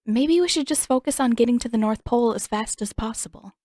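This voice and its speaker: A woman's voice: on the high side, brisk, dark tone, no room sound, clean studio sound, voice pushed up, close to an everyday voice. A woman doing a stubborn teenage girl. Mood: determined. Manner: earnest, insistent.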